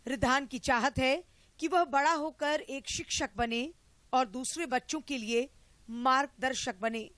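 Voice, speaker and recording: A woman reporter voices a news package in a crisp, formal read. Her voice is high, and the sound is close and dry. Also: measured pace, fairly bright tone, no echo. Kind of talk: scripted report voice-over.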